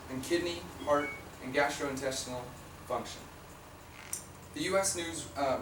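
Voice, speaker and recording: Raspy young man, student speech, picked up from far off in an echoey room with faint hum. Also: mid-range voice. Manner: reads from prepared notes with fillers and restarts. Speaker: student giving a class speech. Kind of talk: informative class speech. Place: classroom.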